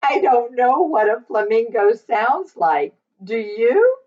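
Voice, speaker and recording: A woman reading a picture book aloud to young children, in a sing-song, drawn-out way with questions to her listeners. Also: on the high side, very animated, muffled recording.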